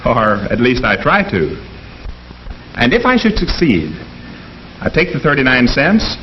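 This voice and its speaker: An actor playing an earnest American professor in a 1950s educational film, speaking in a formal, deliberate, didactic way. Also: male voice, medium pitch. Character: earnest male economics professor lecturing his class. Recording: dull, noisy 1950s film soundtrack.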